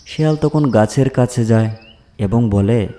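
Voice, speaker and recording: A man's voice: mid-range voice, unhurried, dark tone. A man narrating a scripted animal fairy tale as a storyteller.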